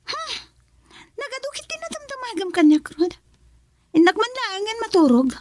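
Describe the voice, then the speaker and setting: High-pitched, pampered Ilocano woman. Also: very animated, moderate pace. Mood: sulky. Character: pampered, complaining woman of a rich household. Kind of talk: Ilocano radio drama acting.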